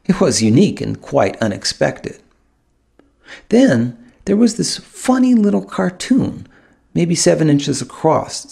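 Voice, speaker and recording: A man narrating a first-person audiobook in an expressive storytelling manner, with dramatic pauses. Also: medium pitch, gravelly, husky. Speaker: author narrating his own audiobook.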